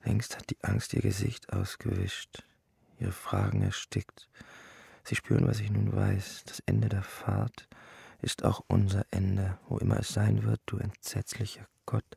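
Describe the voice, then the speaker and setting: An actor reading the monologue of a despairing old deported man who accuses God, in a husky, gravelly voice. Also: on the low side, lively intonation, unhurried, warm tone, no echo, studio quality, exaggerated voice. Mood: anguished, bitter.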